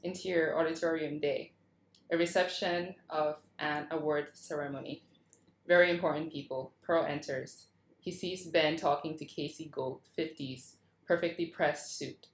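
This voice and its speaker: A woman's voice: sits low, fairly even intonation, moderate pace, slight background noise. A woman reading out scene headings and action lines from a script as scripted narration. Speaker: reader of stage directions at a table read. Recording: roomy, echoing video call audio.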